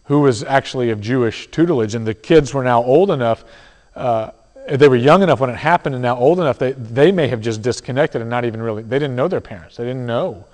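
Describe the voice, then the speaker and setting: Guy preaching a sermon, teaching tone, slows down n drops quiet for effect, big hall echo. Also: mid-range voice. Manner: teaching, with dramatic pauses and soft drops. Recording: long hall reverb.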